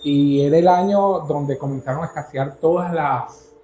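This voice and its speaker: A man from Caracas with a Venezuelan accent, telling his story as an interview guest in an earnest, halting way with many restarts. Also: a little high, dark tone, roomy sound, soft background music. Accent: Venezuelan Spanish.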